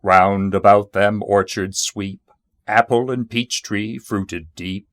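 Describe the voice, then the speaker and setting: A man reciting narrative poetry in a measured, solemn and dramatic manner. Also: lowish voice, no room sound. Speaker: poetry reader.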